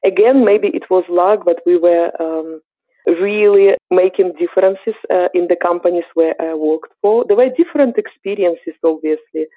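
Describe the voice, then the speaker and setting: A woman's voice: fairly low pitch, normal speed, no room sound. Ukrainian-accented woman on a tinny line. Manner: thoughtful, many hesitations. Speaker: guest. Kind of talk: podcast interview answers. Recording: dry, tinny phone-like line.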